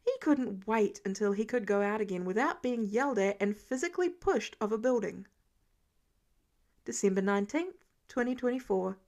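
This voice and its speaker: A woman reading fiction aloud in an expressive audiobook narration style. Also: mid-range voice, normal speed. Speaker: fan fiction reader.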